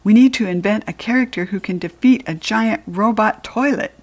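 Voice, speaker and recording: A woman's voice: medium pitch, moderate pace, a little mellow, natural voice. A woman voicing an eager, bossy schoolboy hero in a children's storybook, emphatic and urgent. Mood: determined.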